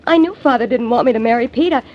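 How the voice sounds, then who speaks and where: A woman's voice: on the high side, quick pace, lightly acted. An actress playing an anxious young female singer in a 1940s radio drama, speaking hesitantly with many stumbles. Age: young adult. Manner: hesitant, faltering speech. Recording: dull old radio recording.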